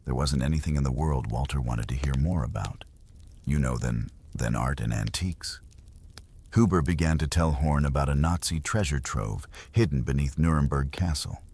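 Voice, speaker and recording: A man narrating history in an unhurried, conversational way, as if explaining to a listener. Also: rumbling low, gravelly, darkish tone, husky. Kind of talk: documentary history storytelling.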